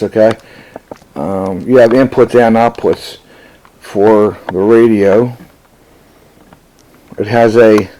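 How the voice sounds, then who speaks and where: A man's voice: medium pitch, a little mellow, a little hiss or hum, low-quality recording. A man who is a ham radio operator, casually demonstrating radio gear to a camera, folksy and full of fillers and "okay?" check-ins. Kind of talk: casual product demo to camera.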